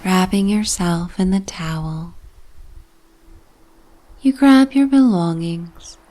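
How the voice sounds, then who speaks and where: A woman narrating a bedtime sleep story in a soft, breathy, half-whispered, soothing ASMR manner. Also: fairly low pitch, expressive, slow.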